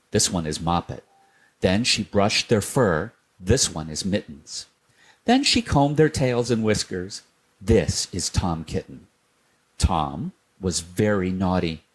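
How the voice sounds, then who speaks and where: A man reading a children's storybook aloud, gentle and unhurried. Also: fairly low pitch, breathy edge.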